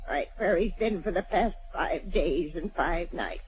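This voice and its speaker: A woman playing a tough frontier homesteader woman shaken by fear, in halting backcountry dialect, 1940s radio acting. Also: on the low side, a little hiss or hum, close to an everyday voice, poor audio. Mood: haunted.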